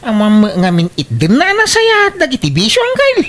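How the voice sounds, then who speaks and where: A woman's voice: high voice, huge pitch swings, brisk. A Filipino woman playing a clean-living young relative who politely resists, in a comedic radio drama. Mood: protesting. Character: clean-living, reluctant young nephew refusing drink. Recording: radio drama track with loud music.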